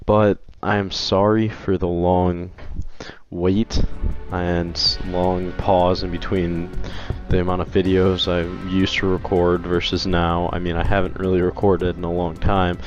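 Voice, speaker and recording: A young man with a hoarse voice from being sick, rambling through a casual opinion vlog with frequent restarts. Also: low-pitched, flat delivery, measured pace, lo-fi sound, soft background music. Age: young adult. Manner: rambling, hesitant, many restarts. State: hoarse from illness. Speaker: video game commentator.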